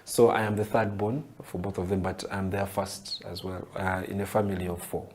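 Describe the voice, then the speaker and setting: A young Ugandan man, a poet, answering interview questions with animated storytelling and frequent restarts. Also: low voice, moderate pace, slight background noise. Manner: animated storyteller, repeats words and restarts phrases. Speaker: poet and writer, interview guest.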